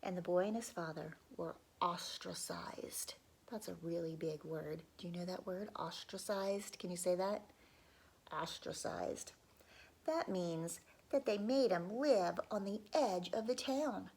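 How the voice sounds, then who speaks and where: A woman reading a folk tale aloud to children, pausing to ask them questions and dropping into whispers. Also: on the low side, darkish tone, husky. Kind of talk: children's read-aloud storytelling.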